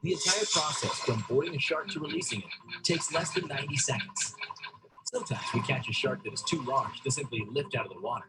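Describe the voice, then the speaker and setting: A man reading scripted narration for an explainer video in a steady, explanatory way. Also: medium pitch, a little fast, heavy background noise.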